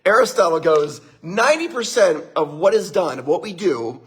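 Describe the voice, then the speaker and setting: A middle-aged man with a husky voice giving an upbeat motivational talk, greeting viewers by name and laughing often. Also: on the high side, big pitch swings, moderate pace, fairly bright tone. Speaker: motivational speaker. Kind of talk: live-streamed motivational talk.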